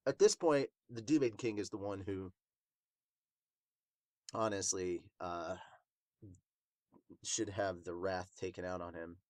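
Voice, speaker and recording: Casual low-voiced man reviewing manga, dry sound. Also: slow pace, pauses often, a little mellow, no echo. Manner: informal, rambling, slangy. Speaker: host of a manga review podcast. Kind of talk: casual reaction commentary.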